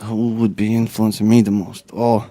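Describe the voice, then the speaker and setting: Young man from Latvia, low voice, rambling laid-back chat, dry radio studio. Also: level tone, moderate pace, dark tone, dry recording. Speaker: guest rapper. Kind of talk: casual interview chat.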